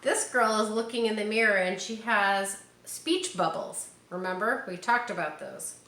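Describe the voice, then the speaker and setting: A woman's voice: mid-range voice, normal speed, sharp tone. A woman reading a picture book aloud to children, speaking to them directly and encouragingly with casual asides. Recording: roomy echo, faint background noise.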